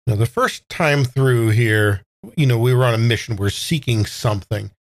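A man playing a tabletop game, casually describing his character's actions in a deliberate, wordy, hesitant way. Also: medium pitch, no room sound.

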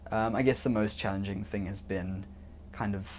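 A man's voice: fairly low pitch, fairly even intonation, normal speed, darkish tone. A young man speaking casually as an interview guest, often chuckling as he talks. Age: young adult. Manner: chuckles often while talking. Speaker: interview guest, international student on a sailing ship. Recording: faint background noise and ambience.

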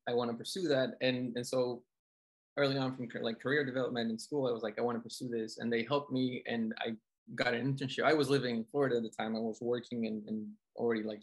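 A man's voice: mid-range voice, creaky, rough recording. Man chatting casually. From Colombia. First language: Spanish. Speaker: interview guest, film composer. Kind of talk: casual conversational storytelling.

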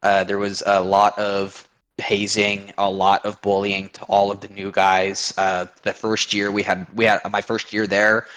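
Man, 20s, from Oregon, low voice, casual rambling interview talk. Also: completely flat delivery, a little slow, fairly bright tone, low-quality recording. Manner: rambling, frequent restarts and fillers. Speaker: guest, army veteran.

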